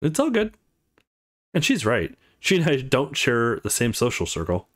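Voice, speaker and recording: A man's voice: mid-range voice, huge pitch swings, moderate pace. A man voicing a blunt, guarded young male college student in a visual novel.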